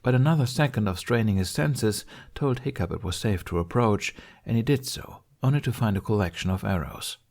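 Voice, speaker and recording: A man's voice: fairly low pitch, lively intonation, brisk, hardly any pauses, a little mellow. A man reading a fiction audiobook as its narrator, in a scripted storytelling style.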